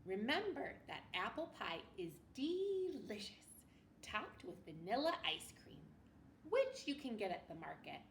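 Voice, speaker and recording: Animated woman reading aloud, slow and roomy. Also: medium pitch, creaky. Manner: animated, expressive, pausing to ask the listeners questions. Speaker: elementary school teacher. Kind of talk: picture book read-aloud for children. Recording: roomy, distant mic with faint noise.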